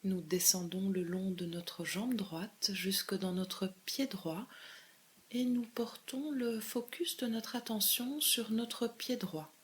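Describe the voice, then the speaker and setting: A woman leading a guided meditation as a calm, measured guide, giving gentle step-by-step instructions with pauses. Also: medium pitch. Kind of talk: guided body scan meditation.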